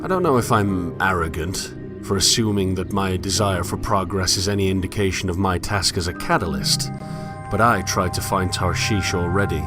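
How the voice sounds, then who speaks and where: Man acting a deep-voiced, brooding philosophical loner. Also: lightly acted. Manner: musing, introspective, formal literary phrasing. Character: brooding, philosophical male loner narrating his wanderings. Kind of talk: scripted first-person game monologue. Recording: long echoing reverb under music.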